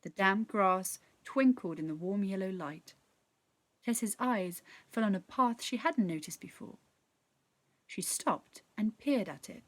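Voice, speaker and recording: A woman narrating a children's story in an expressive, measured, dramatic storytelling style. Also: medium pitch, no echo. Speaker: actor reading a story.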